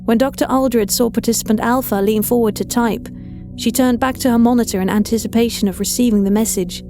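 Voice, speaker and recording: Mellow woman narrating horror. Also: on the high side, steady tone, a little fast. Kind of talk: scripted horror story narration. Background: faint music.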